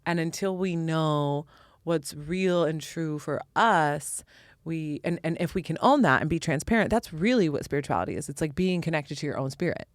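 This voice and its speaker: Expressive American woman, dry close sound. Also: low-pitched, normal speed, dry recording. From Indiana, US Midwest. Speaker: podcast guest, spiritual teacher. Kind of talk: reflective conversational interview.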